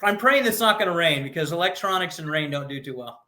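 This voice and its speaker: Bright, forceful man talking football. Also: a little high, animated, fast. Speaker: guest, local sports network game broadcaster. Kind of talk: conversational football talk.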